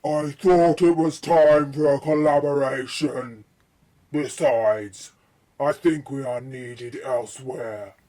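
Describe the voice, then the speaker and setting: A man voicing a dark, slow otherworldly being. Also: medium pitch, steady tone, close to an everyday voice. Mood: calm, purposeful. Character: calm, portentous otherworldly being, brother to another. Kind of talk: radio drama acting.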